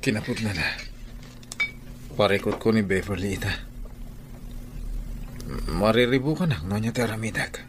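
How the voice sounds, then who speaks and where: An actor playing a weary, suspicious, grumbling husband in a radio comedy drama. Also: male voice, lowish voice, moderate pace, pauses often, lightly acted. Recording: background noise, ambience and vehicle sounds.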